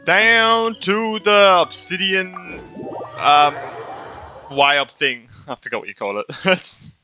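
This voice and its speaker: A young man giving casual, rambling live reactions while playing a video game, excitable and giggly. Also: sits high, slow pace, faint music behind. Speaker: gaming streamer.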